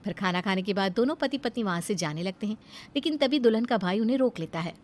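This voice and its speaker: A woman's voice: mid-range voice, animated, fast talker. A woman reading scripted narration for a cartoon family story as its storyteller.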